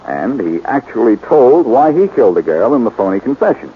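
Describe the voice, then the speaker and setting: An actor playing a quick-witted, breezy amateur detective with wry self-assurance in a 1940s radio drama. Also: male voice, medium pitch, sing-song. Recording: muffled, hissy 1940s radio recording.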